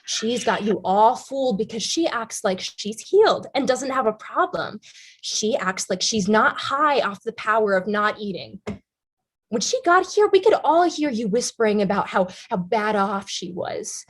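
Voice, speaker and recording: A woman's voice: a little high, animated, measured pace, breathy edge. A woman playing a bitter, combative young woman in a treatment group in a staged play reading, blunt, sarcastic and profane. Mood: defensive, angry.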